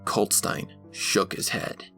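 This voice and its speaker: A man narrating a dark story from a script in a dramatic style. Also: slow. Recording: music behind the voice.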